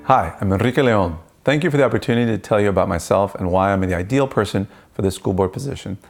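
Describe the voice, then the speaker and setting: Fast-talking man, low and creaky. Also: soft background music. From Peru. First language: Spanish. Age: middle-aged. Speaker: school board candidate. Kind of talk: prepared campaign speech.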